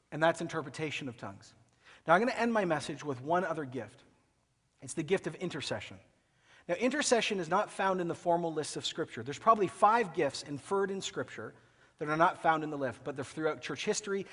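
Man, grew up in Ecuador, pastor preaching, animated and conversational, somewhat high voice, long auditorium reverb. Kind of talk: sermon. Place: large auditorium.

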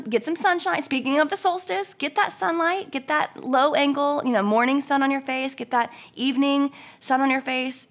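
A woman with a hoarse, congested voice from a cold, chatting casually as a podcast co-host and rambling through many restarts. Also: fairly high pitch, a bit bright. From United States. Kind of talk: casual chat.

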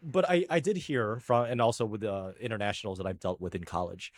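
A man's voice: on the low side, fairly quick, dry recording, clean studio sound. A man from the United States hosting a casual chat, curious and full of questions, often stumbling over his words.

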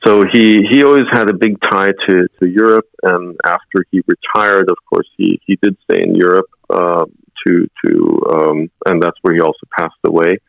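A man's voice: low-pitched, steady tone, normal speed, creaky, no room sound, lo-fi sound. A sixty-year-old man telling anecdotes about his life as a guest in a conversational interview. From born in United States, moved to Germany as a child.

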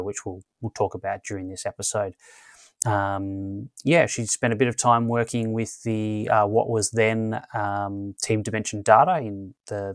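A man hosting a podcast, introducing a guest in a relaxed way with many pauses and restarts. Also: on the low side, dry recording. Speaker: podcast host. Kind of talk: casual interview chat.

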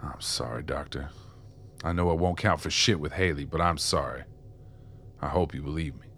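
An actor playing a weary, guilt-ridden older ex-informant in a radio drama, gruff and plainspoken. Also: male voice, a bass voice, moderate pace, a bit bright, slight background noise. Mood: bitter, remorseful.

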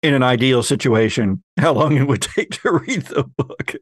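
A man hosting a casual podcast discussion, musing in a measured way. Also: mid-range voice, no echo, clean studio sound. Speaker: podcast host.

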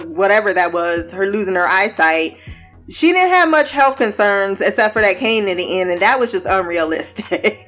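A woman's voice: medium pitch, a bit bright. A woman hosting a casual TV review podcast, blunt, laughing often and swearing freely. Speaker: podcast host.

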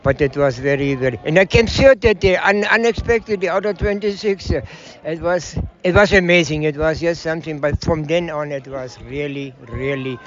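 An older South African man interviewed outdoors at a park, talking excitedly and haltingly, often repeating words. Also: a little high, dull audio. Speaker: interviewee, parkrunner.

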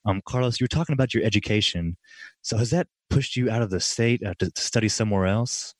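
A man's voice: on the low side, no echo. A young man from Middle Tennessee hosting a faith radio talk show, friendly and casual, with frequent "uh" fillers. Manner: friendly, fills pauses with "uh", laughs easily.

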